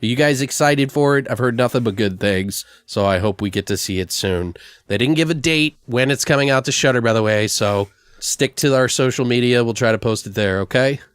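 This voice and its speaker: A man's voice: mid-range voice, fairly quick, smooth, no room sound, studio quality. Casual, sweary male podcast host. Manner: informal, sweary, slangy. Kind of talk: casual chat about horror film news.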